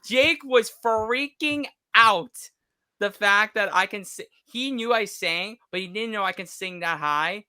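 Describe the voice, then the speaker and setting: A man's voice: high voice, a little slow, bright, forceful tone, clean tone, dry recording, clean studio sound. An American man chatting casually as a podcast guest and telling anecdotes. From United States.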